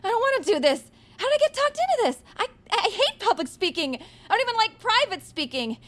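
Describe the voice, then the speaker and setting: An actress playing a nervous, apologetic, awkward female novice radio host who stammers and giggles through halting speech in a comedy audio drama. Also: very high-pitched, sing-song, a bit bright, full character voice. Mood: panicked. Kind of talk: audio fiction comedy acting.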